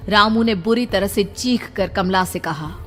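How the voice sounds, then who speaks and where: A woman's voice: medium pitch, measured pace, fairly bright tone, big-room sound. A woman giving scripted, dramatic narration of a cartoon horror story.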